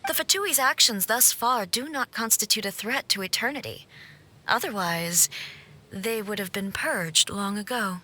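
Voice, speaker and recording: A woman playing an aloof immortal ruler in a video game, cold, stately and formal, with haughty deliberate delivery. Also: mid-range voice, pushed and bright, a little hiss or hum. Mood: calm, resolute.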